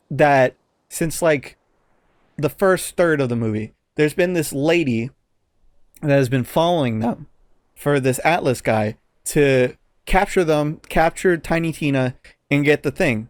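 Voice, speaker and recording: Guy on a podcast, kinda high voice, dry close sound, swears a lot, chatty exasperated movie rant. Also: lively intonation, a little slow, no room sound. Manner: profanity-laced, exasperated ranting. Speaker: podcast co-host. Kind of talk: casual chat, reviewing a movie.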